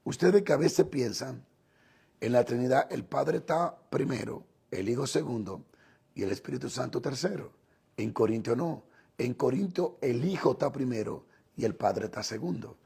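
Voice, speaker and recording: A man preaches with a Dominican Spanish accent in a heavily rasping, mellow voice. He teaches in short, deliberate phrases, pausing and repeating for emphasis, with a gravelly fry running through his delivery. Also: mid-range voice, expressive. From Dominican Republic. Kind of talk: sermon, teaching on scripture.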